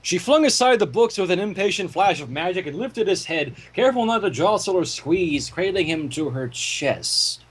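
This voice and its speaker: Animated, higher-pitched man reading a story. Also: moderate pace, hardly any pauses, a little hiss or hum. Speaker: fan fiction reader and commentator.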